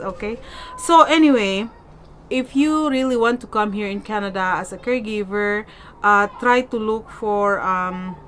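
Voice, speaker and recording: A woman's voice: medium pitch, fairly bright tone. A woman with a Filipino accent chatting casually as a vlogger in non-native English, emphatic and repetitive, often checking in with "okay?". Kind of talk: casual question-and-answer vlog chat. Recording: noticeable background noise.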